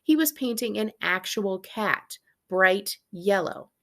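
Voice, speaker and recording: A woman's voice: mid-range voice, lively intonation, slow. A woman, a librarian reading a children's book aloud with casual asides.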